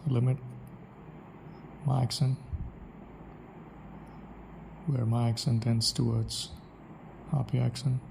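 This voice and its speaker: A man's voice: mid-range voice, level tone, unhurried, gravelly, background noise. A man with an Indian English accent, thinking aloud in a reflective solo monologue, restarting his sentences often. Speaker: podcaster.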